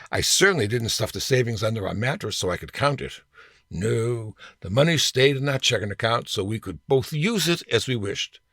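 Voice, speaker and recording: An older man in his sixties or above reading his own humorous personal essay in a wry, deliberate way with emphatic phrasing. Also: medium pitch, a bit bright, breathy edge, no room sound, clean studio sound. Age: 60s or older.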